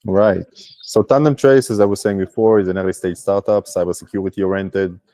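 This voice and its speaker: Startup founder from Israel, low voice, dry close sound, halting casual delivery. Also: male voice, steady tone, mellow, dry recording. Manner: hesitant, many fillers. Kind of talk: casual business video call.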